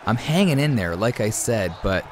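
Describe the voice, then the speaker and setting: Chatty low-voiced man with a cold. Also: very animated, normal speed, slight background noise. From Canada. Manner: chatty, calls viewers man and guys. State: has a cold. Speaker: gaming commentator. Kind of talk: casual gameplay commentary.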